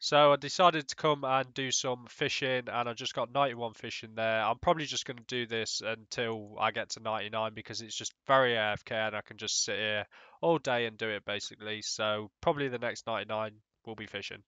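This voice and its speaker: Young male gamer, stuffed-up nasal voice from a cold, smooth tone, dry and slightly dull recording, casual rambling voice-over. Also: lowish voice, fairly even intonation, brisk, pushed and bright, no room sound. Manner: rambling, many ums and restarts, swears casually. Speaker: gaming YouTuber. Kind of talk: casual gameplay commentary.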